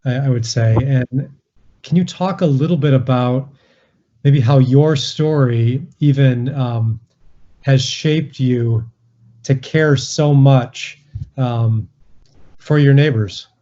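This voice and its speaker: Male interviewer, mellow dark tone, slightly muffled recording. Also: medium pitch, takes it slowly. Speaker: interviewer host. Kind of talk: interview questions.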